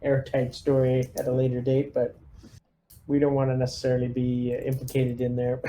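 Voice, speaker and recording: A man plays a tabletop roleplaying game, speaking in a soft, dark tone with little pitch movement. He thinks aloud casually and hesitantly, over slight background noise. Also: completely flat delivery, normal speed. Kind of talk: casual chat during a game.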